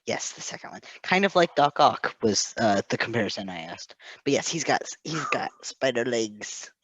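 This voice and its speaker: A man chatting casually in a halting, self-deprecating, giggly way. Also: mid-range voice, expressive, fairly bright tone, husky, no echo, low-quality recording. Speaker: co-host, fan fiction proofreader. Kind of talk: casual rambling chat.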